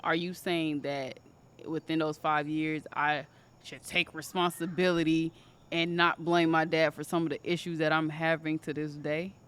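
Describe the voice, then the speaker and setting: A woman in her twenties in casual conversation, telling a personal story in an animated way. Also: sits low, normal speed, clear, resonant tone. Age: 20s. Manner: animated storytelling, quotes others in raised voice. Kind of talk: casual chat.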